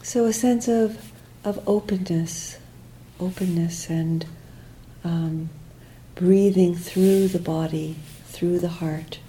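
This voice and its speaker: Very mellow, slow-speaking woman guiding meditation. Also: fairly low pitch, fairly even intonation, a little hiss or hum, low-quality recording. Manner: soft, unhurried, with long pauses between short phrases. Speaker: meditation teacher. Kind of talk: guided meditation.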